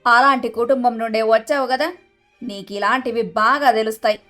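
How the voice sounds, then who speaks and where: A woman playing a haughty, scheming middle-aged mother-in-law in an animated moral story, with a scolding, sharp-tongued manner. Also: on the high side, moderate pace, soft background music, lightly acted. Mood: scornful, angry.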